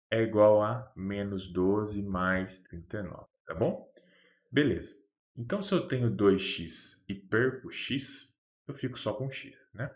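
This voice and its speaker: A man with a Brazilian Portuguese accent teaching a math lesson step by step, patiently checking that the viewer follows. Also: lowish voice. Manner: patient, keeps checking in with "beleza?".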